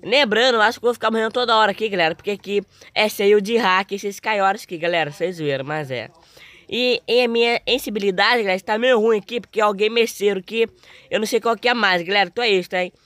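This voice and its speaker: A young male gamer with a Brazilian accent talks casually through his gameplay. His voice is slightly hoarse and his delivery is informal and full of slang. The recording is close and dry. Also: sits high, quick pace, sharp tone, no echo. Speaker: gaming video host.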